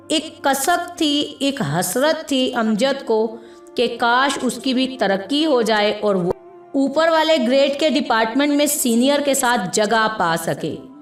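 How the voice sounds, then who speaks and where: A woman narrating a scripted story in a measured, expressive storytelling manner. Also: a little high. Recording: echoey room with background music. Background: music.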